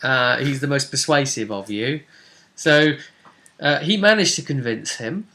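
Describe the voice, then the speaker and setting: A man's voice: medium pitch, expressive, unhurried, bright, forceful tone. A man casually recounting a tabletop role-playing session as its game master.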